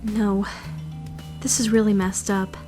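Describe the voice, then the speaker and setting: A woman's voice: mid-range voice, flat delivery, slow pace, lightly acted. A woman playing an anxious, brooding fourteen-year-old schoolgirl who narrates her self-doubting thoughts in a visual novel fandub. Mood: agitated, questioning. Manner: introspective, questioning, self-critical. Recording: music behind the voice.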